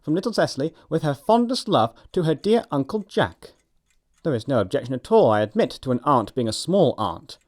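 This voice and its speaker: An actor playing a flippant, witty young gentleman of leisure, breezy and arch, with an upper-class English accent. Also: mid-range voice, close to an everyday voice. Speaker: actor in an audiobook production. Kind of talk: stage comedy acting.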